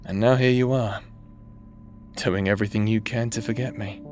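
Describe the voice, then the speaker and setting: A man's voice: fairly low pitch, steady tone, moderate pace, music in the background, natural voice. A man voicing a remorseful necromancer husband who now haunts his partner's memory, speaking tenderly and teasingly in a roleplay audio drama. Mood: wistful. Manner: tender, teasing, softly spoken.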